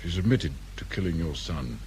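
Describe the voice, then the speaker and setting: A man acting a calm, probing police inspector with a British accent in a radio crime drama, speaking in a measured, politely firm way. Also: deep voice, a little hiss or hum. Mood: grave, measured.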